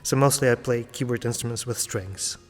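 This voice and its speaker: A man's voice: mid-range voice, a little fast, in a large hall, faint music behind. A man in his thirties from Latvia and raised in Ukraine, a classical pianist chatting casually as an interview guest, thoughtful and full of fillers and restarts.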